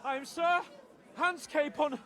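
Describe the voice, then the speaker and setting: A man's voice: very high-pitched, fairly even intonation, measured pace, fairly bright tone, some chatter behind. An actor playing an entitled young nobleman, protesting haughtily and urgently in a medieval video game drama.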